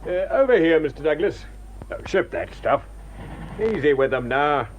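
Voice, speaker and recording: An actor playing a brisk army sergeant in a radio drama, calling out orders. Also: male voice, a little high, sing-song, a little hiss or hum. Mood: urgent, brisk. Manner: barking orders.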